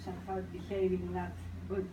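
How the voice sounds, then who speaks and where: Woman, lower register, informal and hesitant conversational delivery, heavy background noise. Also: monotone, normal speed, highs cut off. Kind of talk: casual chat. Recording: loud background noise, homemade recording.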